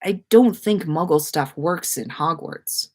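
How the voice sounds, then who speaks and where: A shy, kindly schoolboy voiced by a woman reading a story, who speaks haltingly with a touch of bashful gruffness. He is sheepish and friendly, like a character from a read-aloud tale. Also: low voice, lively intonation, mellow. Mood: hesitant, friendly. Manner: hesitant, halting.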